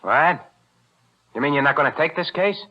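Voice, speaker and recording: A man's voice: fairly high pitch, lively intonation, moderate pace. An actor playing a cold-blooded gangster killer with slangy tough-guy talk in a 1940s radio thriller. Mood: indignant. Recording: muffled vintage radio broadcast.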